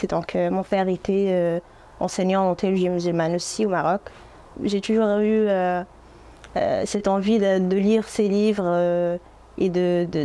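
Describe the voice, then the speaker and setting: A woman in her thirties from Morocco, an Arabic speaker, answering interview questions as an Arabic teacher in an earnest, gentle, measured way. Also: on the low side.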